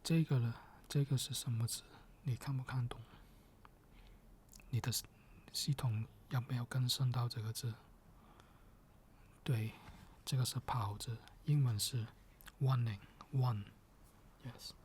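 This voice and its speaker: A man speaking gently and patiently in soft, partly whispered ASMR, with hesitant, step-by-step phrasing. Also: medium pitch, steady tone, measured pace, long pauses, a little hiss or hum. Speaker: ASMR performer.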